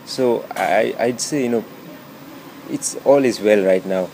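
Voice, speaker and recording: A young Sri Lankan student talks earnestly, leaning on the odd filler. His voice is very low and mellow. Faint room noise sits behind him. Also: unhurried, low-quality recording. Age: young adult. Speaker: university student. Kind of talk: interview answer.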